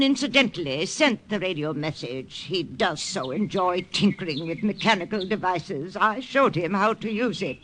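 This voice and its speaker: A woman's voice: mid-range voice, fairly bright tone, close to an everyday voice. A woman playing a prim, cheerful missionary's widow and secret agent in an old radio drama, with genteel, precise, blithely polite speech. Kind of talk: 1940s-50s radio drama acting. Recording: old radio recording, faint noise.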